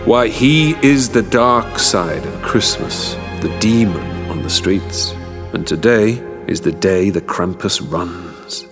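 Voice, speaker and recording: An actor playing an urgent, ominous adventurer in an audio drama. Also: male voice, sits low, slow. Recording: loud music behind.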